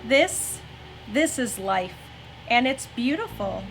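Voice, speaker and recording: Woman, high lilting voice, expressive storybook read-aloud, gentle delivery, music behind. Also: slow, rough recording. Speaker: mother reading aloud on a family story-time show. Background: background music.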